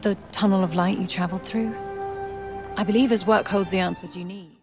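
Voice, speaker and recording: An actress playing an earnest, hopeful woman scholar in a fantasy video game cutscene, speaking in a measured, formal way. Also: mid-range voice, fairly even intonation, lightly acted. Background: music.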